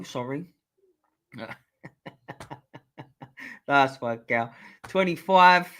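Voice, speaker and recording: A middle-aged man hosts a casual live stream quiz, bantering with viewers and swearing freely. His voice is going a bit hoarse. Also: a little high, bright, forceful tone. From England. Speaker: livestream host.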